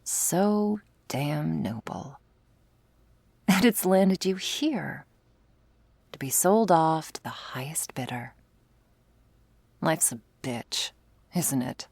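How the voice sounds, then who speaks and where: A woman playing a smug, predatory female vampire in an ASMR roleplay, flirtatious and taunting, with teasing, drawling, breathy delivery. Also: low-pitched, expressive, drawn-out words, pauses often, darkish tone, no echo, heavily acted. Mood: mocking, amused.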